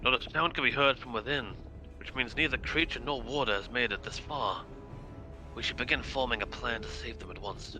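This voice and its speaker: A man reading the part of an earnest, dutiful warder from a fantasy video game, in formal, measured speech. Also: medium pitch, over music, close to an everyday voice, rough recording. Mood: resolute. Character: dutiful, earnest male warder of a magical prison. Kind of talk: live read of video game dialogue.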